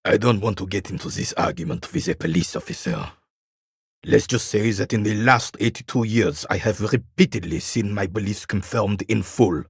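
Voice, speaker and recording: An actor playing a bitter, proud royalist war veteran in his eighties in a video game, with a rasping, weathered voice and a haughty, formal military way of talking. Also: on the low side, lively intonation, moderate pace, no room sound, studio quality, full character voice. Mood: pompous, condescending. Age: 80s. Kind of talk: video game character dialogue.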